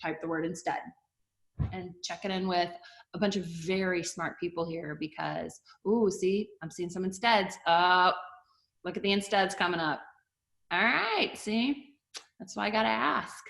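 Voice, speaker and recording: A woman's voice: fairly low pitch, a little slow, gravelly, fairly bright tone. Chatty woman pastor in a roomy space. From Canada-born, raised in the US. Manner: chatty, asks the audience to type answers. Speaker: pastor and TV host. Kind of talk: casual talk to an online audience. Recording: roomy echo.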